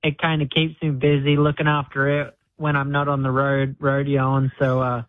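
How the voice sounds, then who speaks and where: A man in his twenties with an Australian accent, a guest talking in a relaxed, modest way with many fillers over the phone. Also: medium pitch, normal speed. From Queensland, Australia. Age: 20s. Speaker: guest bull rider. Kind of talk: phone interview on radio. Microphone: phone line. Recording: tinny phone line.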